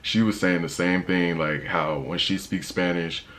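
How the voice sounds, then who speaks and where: A young man with a deep voice, talking casually in a travel vlog with a laid-back, slangy manner and lots of fillers. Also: a little slow, a bit bright. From United States. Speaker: travel vlogger.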